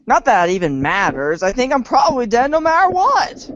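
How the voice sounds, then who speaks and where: A woman voicing an excitable, fretful young boy gamer who exclaims and whines his questions in a fan read-along. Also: fairly high pitch, a little fast, no room sound. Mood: frantic, fretful. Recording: dull lo-fi audio.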